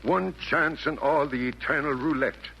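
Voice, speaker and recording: An actor playing a grandiose, coaxing fiend who presides over hell's evildoers in a rhymed 1940s radio comedy, with pompous theatrical delivery. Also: male voice, a little high, moderate pace, vocal fry, pushed and bright, breathy edge, heavily acted. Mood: coaxing, scheming. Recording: faintly noisy old radio recording.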